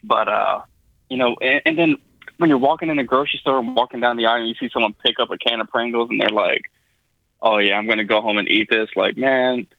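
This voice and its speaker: A man's voice: mid-range voice, fast, no echo, narrow, tinny audio. A man from Tennessee, a guest in a casual chat, telling rambling jokes. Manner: joking, rambling storyteller. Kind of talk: casual chat on a video call.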